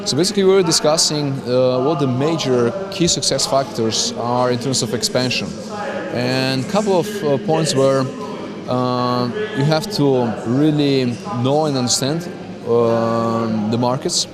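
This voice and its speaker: A man with a Slovenian accent answering interview questions as a company founder, halting as he searches for words. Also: mid-range voice. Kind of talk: interview answers at a business conference. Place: crowded conference venue. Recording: busy event with loud crowd chatter. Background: loud crowd chatter.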